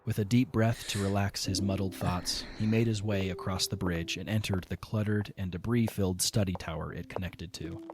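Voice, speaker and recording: A man giving scripted narration for a fan audio drama, in a measured, even storytelling manner. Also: sits low.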